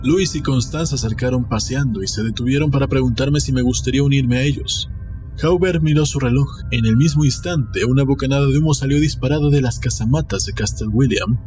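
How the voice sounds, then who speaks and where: A man narrates a literary tale as a scripted dramatic reading. Music plays clearly behind his voice throughout. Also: mid-range voice. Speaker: audiobook narrator. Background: background music.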